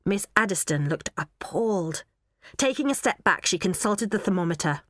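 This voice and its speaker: A woman's voice: mid-range voice, no room sound. Woman narrating a storybook, dry studio. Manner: measured, even narration. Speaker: audiobook narrator.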